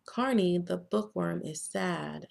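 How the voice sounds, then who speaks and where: Female storybook narrator, American, unhurried and expressive read-aloud, mid-range voice with gravelly creak. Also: fairly low pitch. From United States. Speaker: children's author reading own book. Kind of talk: scripted storybook narration for children.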